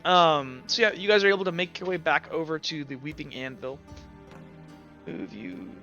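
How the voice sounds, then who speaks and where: Guy game mastering, highish voice, stumbles along improvising the scene, muffled lo-fi mic with music under it. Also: pushed and bright. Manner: halting, conversational. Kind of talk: improvised narration of a tabletop roleplaying game.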